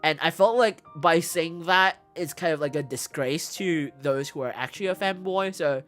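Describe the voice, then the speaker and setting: A woman streamer with a mixed English accent chatting casually as she answers viewer questions, giggly and halting, often repeating herself. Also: deep voice. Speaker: VTuber streamer host. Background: background music.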